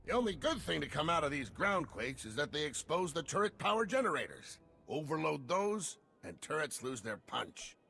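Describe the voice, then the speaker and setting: An actor playing a gruff, irreverent veteran security chief, blunt and wry in an informal way. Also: male voice, fairly high pitch, very animated, normal speed, hardly any pauses, sharp tone, soft background music, exaggerated voice. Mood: brisk briefing.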